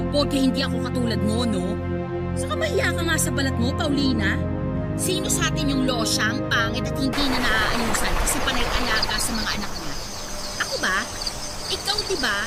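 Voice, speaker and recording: An actress playing a brash, scornful, sharp-tongued friend in a radio drama, mocking and taunting as she talks. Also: on the high side, close to an everyday voice. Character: brash, scornful woman friend pushing a shady sideline. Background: loud background music.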